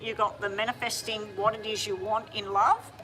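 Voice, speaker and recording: A woman doing a live-streamed tarot reading, talking straight to viewers in a chatty, hurried way. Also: mid-range voice, flat delivery, pushed and bright, rough recording. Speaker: tarot reader.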